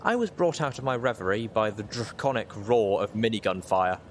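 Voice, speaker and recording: A man with a fairly low voice narrates a story from a script in the first person. Clear noise sits behind his reading. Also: animated, normal speed. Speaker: audiobook reader, show host.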